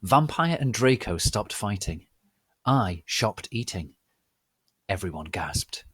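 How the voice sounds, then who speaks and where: A man hosting a dramatic reading, theatrical and mock-solemn, speaking deliberately with pauses. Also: lowish voice, very animated, fairly bright tone, slightly rough, dry recording. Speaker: host and reader.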